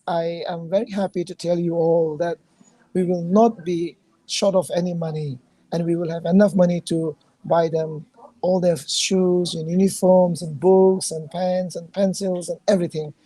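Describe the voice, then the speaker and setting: Hoarse woman from Manipur on a call. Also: fairly low pitch, fairly even intonation, warm tone, no echo, low-quality recording. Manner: halting, earnest, many fillers. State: hoarse, losing her voice. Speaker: guest, charity founder. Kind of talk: casual video call conversation.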